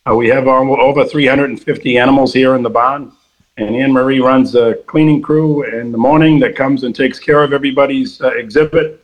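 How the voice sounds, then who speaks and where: A slightly hoarse man being interviewed as an exhibit organizer, explaining things informally. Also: medium pitch, fairly even intonation, brisk, rough recording. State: a little hoarse.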